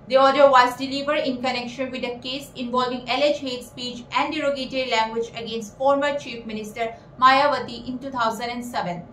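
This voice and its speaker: A young woman presenting scripted news in a formal, measured manner. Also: pushed and bright. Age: young adult. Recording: roomy echo, low rumbling noise.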